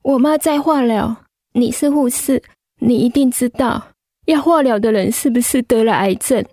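A woman's voice: a little high, moderate pace, dark tone, no echo, clean studio sound. An actress playing a scared, trembling schoolgirl in a radio drama. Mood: tearful pleading. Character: scared schoolgirl, trembling, worried for her mother.

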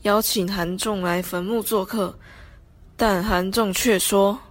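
A woman's voice: medium pitch, steady tone, slow. A woman with a Taiwanese Mandarin accent reading scripted narration for an amateur radio drama. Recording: background music under the voice.

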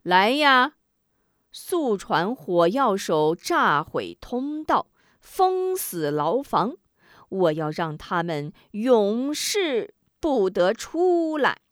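A woman puts on a scheming male official who drawls each threat slowly and savors it. He gloats with a sneering laugh. The voice is dry and close. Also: mid-range voice, sing-song, dry recording. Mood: gloating.

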